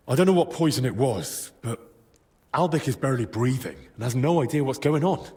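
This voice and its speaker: A man's voice: mid-range voice, animated, darkish tone, lightly acted. Male actor as earnest young medieval hero. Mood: urgent, grave. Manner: earnest, measured, respectful. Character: earnest, determined young man in medieval Bohemia. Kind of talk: dramatic video game cutscene acting.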